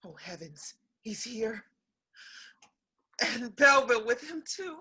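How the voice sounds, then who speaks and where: A woman playing an anxious young Spanish gentlewoman in a period comedy, with hesitant, theatrical old-fashioned speech. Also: fairly high pitch, expressive, takes it slowly, slight background noise, heavily acted. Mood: alarmed. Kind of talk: period stage comedy acting.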